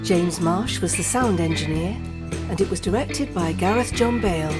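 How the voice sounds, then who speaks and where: Female announcer, deep pitch, scripted credits narration, prominent guitar music underneath. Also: moderate pace. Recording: loud guitar music behind.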